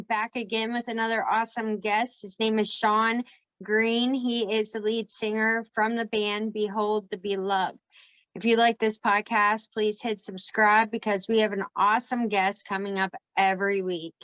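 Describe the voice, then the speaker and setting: A woman's voice: on the high side, flat delivery, normal speed, fairly bright tone, poor audio. Woman hosting a casual podcast interview. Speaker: podcast host.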